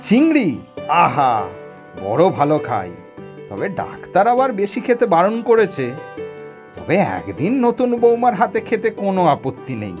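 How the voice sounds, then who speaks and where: A woman's voice: lowish voice, wildly swooping pitch, normal speed. A woman voicing a genial, food-loving older uncle in a family cartoon, effusive and given to savouring exclamations. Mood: delighted. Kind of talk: animated family cartoon dialogue. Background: soft background music.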